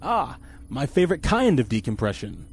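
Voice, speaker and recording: An actor playing a vain, bombastic, incompetent spaceship captain in a campy 1950s-style space radio serial. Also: male voice, mid-range voice, sing-song, normal speed. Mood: brisk, bossy. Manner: pompous, theatrical.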